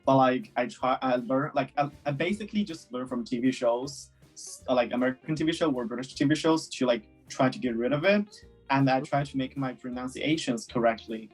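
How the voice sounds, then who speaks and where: A man's voice: mid-range voice, brisk, soft background music. A man in his twenties from China, Chinese his first language, answering interview questions casually with many fillers. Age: 20s. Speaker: guest.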